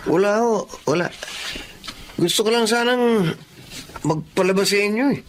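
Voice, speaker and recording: Shifty young Filipino villain male actor, very high-pitched voice, arrogance under a polite surface, radio-drama style. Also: normal speed, slight background noise, lightly acted. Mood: falsely polite. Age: young adult.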